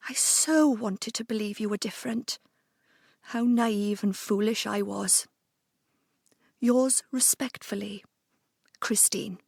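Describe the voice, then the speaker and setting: An actress playing a wounded, reproachful woman reading out her letter in a formal, measured way in a radio drama. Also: medium pitch, gravelly, darkish tone, breathy edge, dry recording, studio quality, full character voice. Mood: hurt, reproachful.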